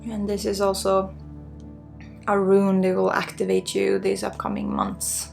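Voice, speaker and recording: A woman with a Swedish accent giving unscripted spiritual guidance in an ASMR healing session, earnest and encouraging, with halting phrasing. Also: mid-range voice, monotone, creaky, soft background music. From Sweden. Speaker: spiritual guide and energy healer.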